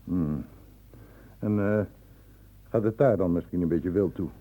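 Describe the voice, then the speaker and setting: An actor playing a curt, pedantic senior doctor in a radio drama. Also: male voice, low voice, warm tone. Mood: impatient, curt. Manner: pedantic, deliberate when dictating, curt in questioning. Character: brisk, impatient senior male doctor dictating a report. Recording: dull, noisy old radio play tape.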